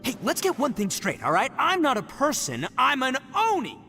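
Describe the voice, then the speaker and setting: A man's voice: very high voice, huge pitch swings, normal speed, gravelly, pushed and bright, faint music behind, heavily acted. A man playing a boisterous, boastful young oni gang boss in a video game, brash, theatrical and easily rattled. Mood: insistent.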